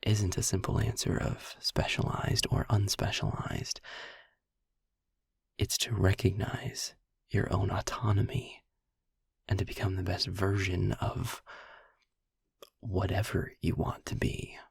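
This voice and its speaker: A man playing a sarcastic, philosophical demon in an intimate audio roleplay, speaking deliberately in a husky, breathy half-whisper with a frustrated but principled air. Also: sits low, completely flat delivery, dry recording, studio quality, full character voice. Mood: firm, earnest. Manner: sardonic, deliberate, ranting with pauses and sighs.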